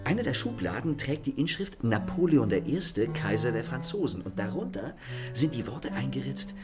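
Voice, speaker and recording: An actor playing a self-assured, courteous and mocking gentleman thief in a German radio play, with polished theatrical manners. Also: medium pitch, very animated, normal speed, over music, lightly acted. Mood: leisurely explaining.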